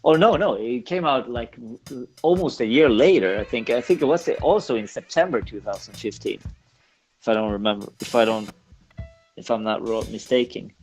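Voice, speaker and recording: A man's voice: mid-range voice, expressive, normal speed, soft background music, rough recording. A man from Sweden with a Swedish accent, a native Swedish speaker, talking casually in an interview and often repeating himself as he searches for words. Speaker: podcast guest, punk band singer.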